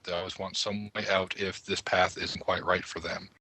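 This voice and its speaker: A man with a very deep, rough-edged voice discusses things casually as a panelist. He explains and argues with small asides, in a forceful, cutting tone. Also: level tone, moderate pace, no room sound. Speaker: podcast panelist.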